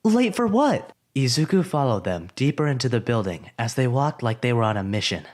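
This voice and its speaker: A man narrating a story in an expressive, scripted storytelling way. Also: medium pitch, brisk.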